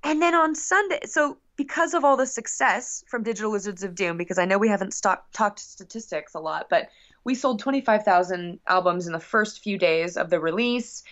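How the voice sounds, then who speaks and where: A woman's voice: medium pitch, normal speed. Gushing, chatty woman on dull audio. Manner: gushing, excitable, rambling. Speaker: guest musician. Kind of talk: casual, chatty interview.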